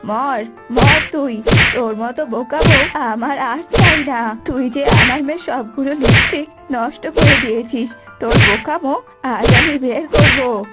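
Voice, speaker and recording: A woman's voice: high voice, measured pace, loud music behind the voice, voice pushed down. A woman voicing a harsh, scolding older mother-in-law in a cartoon. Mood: furious. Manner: harsh threatening scolding when angered. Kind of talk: Bengali cartoon voice acting.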